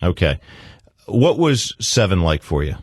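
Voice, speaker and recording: Male psychiatrist interviewer, low and animated, insistent, probing, quick to interrupt. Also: slightly rough, dry recording, clean studio sound. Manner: insistent, interrupting, pressing with repeated questions. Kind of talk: probing therapy-style radio interview.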